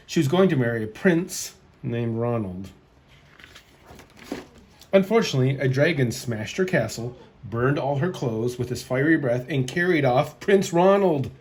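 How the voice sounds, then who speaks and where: Male storyteller, mid-pitched, expressive picture-book read-aloud. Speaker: storyteller, host.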